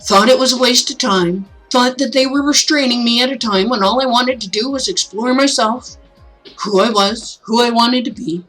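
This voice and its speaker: A woman's voice: fairly high pitch, normal speed, a bit bright, soft background music, voice pushed up, rough recording. A woman voicing an affable older male mayor giving a folksy, jokey, rousing speech in a visual novel reading. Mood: earnest, encouraging.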